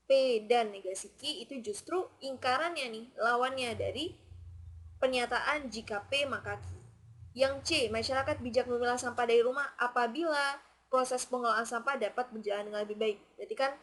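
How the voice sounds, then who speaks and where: A woman's voice: on the high side, fairly bright tone. A woman tutor patiently walking students through a logic test question and asking them questions as she goes.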